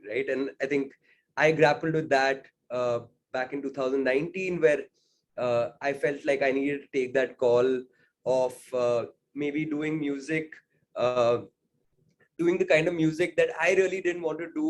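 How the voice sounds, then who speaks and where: A man's voice: mid-range voice, slight background noise. Thoughtful young man musing in an interview. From India. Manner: thoughtful, many fillers and "right?" tags. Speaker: singer-songwriter guest. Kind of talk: reflective interview answers.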